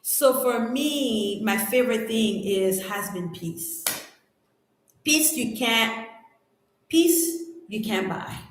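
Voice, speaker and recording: A middle-aged woman from Cameroon, a French speaker, answering viewer questions in a live chat, animated and emphatic with slangy exclamations. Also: on the high side, takes it slowly, slight background noise. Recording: very echoey room.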